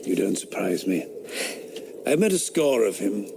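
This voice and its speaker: An actor playing a sardonic eighteenth-century philosopher who mocks the ghost hunt with dry, scornful wit. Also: male voice, lowish voice, big pitch swings, measured pace, vocal fry, noisy background, exaggerated voice. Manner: dry, mocking, skeptical. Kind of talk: radio drama acting. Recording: audio drama with woodland ambience.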